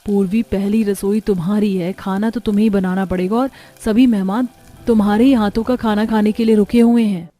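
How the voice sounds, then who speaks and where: A woman's voice: mid-range voice, fairly even intonation, fairly quick, mellow, lightly acted. An actress plays a cartoon household's elder daughter-in-law. She speaks in a firm, practical, instructing way, with music playing underneath. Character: brisk, matter-of-fact elder sister-in-law. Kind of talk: Hindi cartoon family-drama voice acting.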